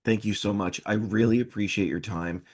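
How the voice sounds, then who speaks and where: A man's voice: lowish voice, fairly quick, crowd noise. A man hosting a film interview, putting his questions in an appreciative, considered way.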